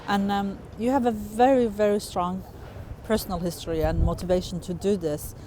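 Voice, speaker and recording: A woman's voice: medium pitch, steady tone, normal speed, warm tone, slight background noise. A woman interviewer putting questions to her guest in a conversational way. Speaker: interviewer, dialogue expert.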